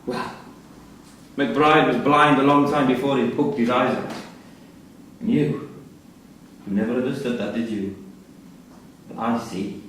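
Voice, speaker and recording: An actor in a live staged reading playing a guarded, bitter prisoner who talks in a colloquial, needling way. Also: male voice, mid-range voice, fairly even intonation, a little slow, long pauses, fairly bright tone, lightly acted. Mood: bitter, wounded. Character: guarded, bitter male prisoner with a killing behind him. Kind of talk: live theatre acting. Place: theatre performance space in Salford. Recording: echoey hall, distant mic, faint noise.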